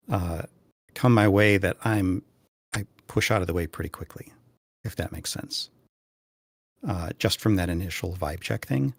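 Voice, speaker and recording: Man, deepish voice, very dry recording, podcast panelist, searching for words, earnest delivery. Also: no echo. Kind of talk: casual panel discussion.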